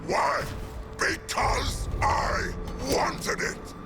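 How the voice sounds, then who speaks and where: An actor playing a huge, pompous alien emperor, a theatrical and grandiose video game villain. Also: male voice, drawn-out words. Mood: indignant, boastful. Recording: loud music and effects under voice.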